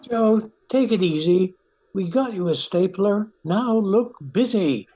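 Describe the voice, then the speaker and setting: A woman as a slow, mellow office man. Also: mid-range voice, lively intonation. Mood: instructing. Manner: measured, explanatory. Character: unflappable male news office colleague. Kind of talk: old-time radio comedy acting.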